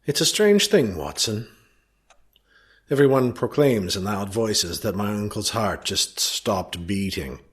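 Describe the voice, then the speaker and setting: A man playing an earnest, uneasy young gentleman heir in an audio drama, with a dramatic delivery. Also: fairly low pitch, lively intonation, slow. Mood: brooding. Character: Sir Henry Baskerville, earnest, uneasy young heir from Canada.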